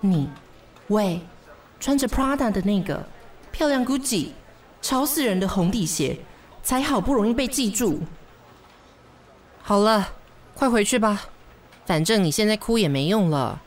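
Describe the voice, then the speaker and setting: A world-weary female secretary in a radio drama, played by a woman, speaking at middle pitch. She is brisk and bossy, with dry sarcasm and little patience. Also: lively intonation, natural voice. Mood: brisk, matter-of-fact. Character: brisk, exasperated, sardonic female office secretary.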